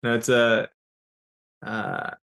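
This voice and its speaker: A man in his forties whose first language is Serbian, answering interview questions in an explanatory way, often pausing on "uh" and restarting phrases. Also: medium pitch, wildly swooping pitch, gravelly, a bit bright. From raised in Yugoslavia. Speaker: business founder as guest.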